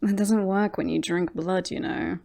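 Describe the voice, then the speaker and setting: A woman's voice: on the low side, steady tone, vocal fry, mellow. A woman playing a smug, possessive female werewolf in an ASMR roleplay, teasing and mocking as she coaxes her beloved human. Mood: amused, taunting. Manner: teasing, mocking, drawn-out coaxing delivery.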